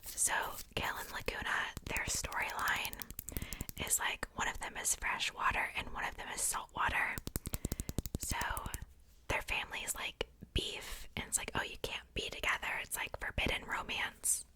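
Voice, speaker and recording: A young adult woman hosting ASMR, whispering casually in a rambling, informal show-and-tell with self-joking asides. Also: moderate pace. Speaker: ASMR video host.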